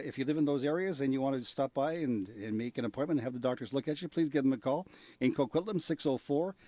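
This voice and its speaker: A man's voice: on the high side, darkish tone, no room sound. A man hosting a radio interview show in a small studio, chatty and joking with his guests. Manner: jokey, chatty, reads numbers slowly. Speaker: radio host. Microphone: studio mic with headphones.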